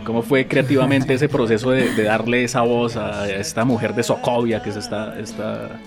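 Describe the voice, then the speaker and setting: A man's voice: mid-range voice, level tone, moderate pace, music in the background. A man who hosts a radio show, asking long, winding interview questions. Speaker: radio host.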